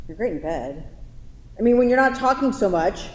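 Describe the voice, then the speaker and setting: A woman in a staged play reading, playing a sharp-tongued, sarcastic and exasperated young girlfriend in an echoey hall. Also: medium pitch, animated, unhurried, lightly acted. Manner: sarcastic, profane, incredulous. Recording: echoey theatre hall, some background noise.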